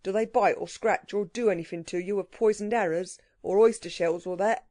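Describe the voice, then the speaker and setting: A woman voicing a rough working-class male burglar, talking in slangy, grumbling dialect. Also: mid-range voice, fairly even intonation, brisk, warm tone, dry recording, clean studio sound, lightly acted. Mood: wary.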